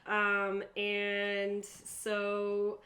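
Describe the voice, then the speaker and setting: A woman's voice: medium pitch, monotone, drawn-out words, sharp tone. A woman speaking casually and conversationally as game master, narrating and explaining the rules of a roleplaying game. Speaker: game master and player in a tabletop roleplaying stream. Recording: faint background noise.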